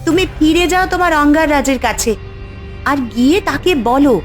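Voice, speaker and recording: A woman's voice: high-pitched, brisk, soft background music, close to an everyday voice. A woman playing a gracious, resolute, protective fairy mother, speaking earnestly in a fairy-tale cartoon. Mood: stern, defiant. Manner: earnest, declamatory.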